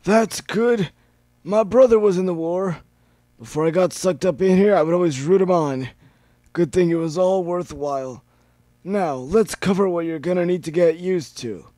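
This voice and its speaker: A shriveled old pony from a fan story , as a male reader puts him on. He is an elderly fellow pitched well up high. The sound is close and dry. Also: normal speed, dark tone, no echo, natural voice. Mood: matter-of-fact. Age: older. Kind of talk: amateur dramatic reading of fan fiction.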